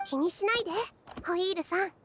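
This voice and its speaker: とても高い声の優しい若いヒロイン. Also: female voice, very high voice, fairly quick, fairly bright tone, slight background noise. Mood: gentle. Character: gentle, kind young heroine, calm and considerate. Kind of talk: Japanese anime game acting. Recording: faint music behind.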